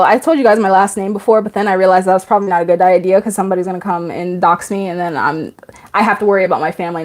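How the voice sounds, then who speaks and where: Chatty twenty-something woman on a live stream, laughs a lot, reads comments out loud. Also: medium pitch. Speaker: live stream host reading viewer comments. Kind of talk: casual live stream chat.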